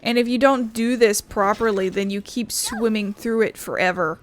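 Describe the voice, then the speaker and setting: A woman's voice: on the high side, normal speed. A woman giving casual let's-play commentary, grumbling bluntly and swearing as she plays. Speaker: gaming video host. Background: game music.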